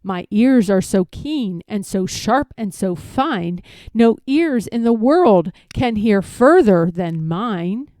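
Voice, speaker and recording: A woman's voice: medium pitch, expressive, slow pace, dark tone, no room sound, clean studio sound. A woman voicing a vain male rabbit from a storybook, bragging in a snappy, self-important way. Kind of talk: storybook read-aloud character voice.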